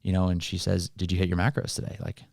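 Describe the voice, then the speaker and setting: A man's voice: low-pitched, completely flat delivery, fast talker, vocal fry, darkish tone, dry recording, studio quality. A man hosting a casual motivational conversation, explaining things in an encouraging, coaching way. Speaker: podcast host.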